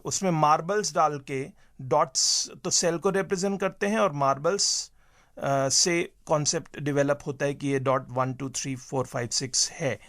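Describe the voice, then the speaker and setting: A man giving measured, halting explanations as an interview guest, mixing in English terms. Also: fairly high pitch, a bit bright.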